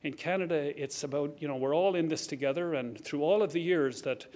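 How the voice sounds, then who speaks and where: A man's voice: a little high, brisk, darkish tone. A middle-aged man with an Irish accent giving a heartfelt acceptance speech, earnest and reflective, with frequent restarts. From Ireland. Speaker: award recipient giving a speech. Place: banquet hall at a lectern.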